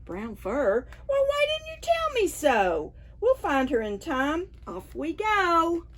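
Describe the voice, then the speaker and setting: A woman's voice: sits high, wildly swooping pitch, takes it slowly, fairly bright tone, pitched above the performer's own voice, full character voice. A woman voicing an eager, helpful but muddled butterfly in a storybook read aloud for preschoolers. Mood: confident.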